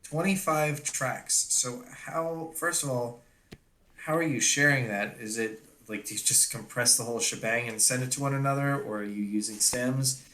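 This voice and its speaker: A man's voice: mid-range voice, moderate pace, bright, forceful tone, a little hiss or hum. A man casually asking technical questions as a panelist on a stream. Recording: roomy, echoing microphone sound.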